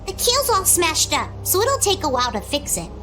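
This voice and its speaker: A woman's voice: very high-pitched, wildly swooping pitch, moderate pace, fairly bright tone, soft background music, full character voice. A woman voicing a plucky young seafaring girl in a video game, with quaint, matter-of-fact phrasing. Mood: thoughtful.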